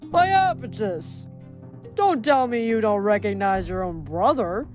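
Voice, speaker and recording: A woman's voice: medium pitch, expressive, slow pace, faint music behind. A woman voicing a jovial but menacing male Titan giant, boastful and theatrical, in a storybook reading. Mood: hearty, boisterous. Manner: theatrical, grandiose, hearty.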